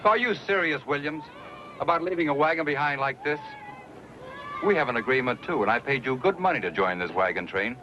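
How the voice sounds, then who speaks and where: A man's voice: medium pitch, lively intonation, fairly quick, close to an everyday voice. A man playing a suspicious, possessive, haughty passenger with a demanding, sneering manner in a western radio drama. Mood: indignant. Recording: dull lo-fi radio recording, noisy.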